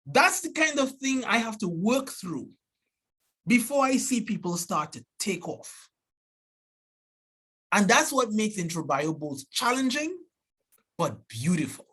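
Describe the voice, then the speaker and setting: A man's voice: high-pitched, unhurried, pauses often, husky. Earnest Trinidadian man giving a talk. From Trinidad and Tobago. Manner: earnest and animated, with frequent restarts and "right?" asides. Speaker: biology professor giving a seminar. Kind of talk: seminar talk.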